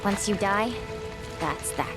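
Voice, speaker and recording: A woman's voice: mid-range voice, takes it slowly, natural voice. An actress playing a scornful, impatient, sassy young woman in a video game. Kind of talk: video game cutscene acting. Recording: music behind the voice.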